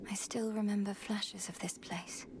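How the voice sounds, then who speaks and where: A woman's voice: medium pitch, fairly even intonation, a little slow, whispered, close to an everyday voice. Woman doing determined young witch goddess for a game, mid voice, serious and kinda formal. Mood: hushed, solemn. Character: young goddess princess witch, earnest and resolute. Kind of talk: dramatic video game acting.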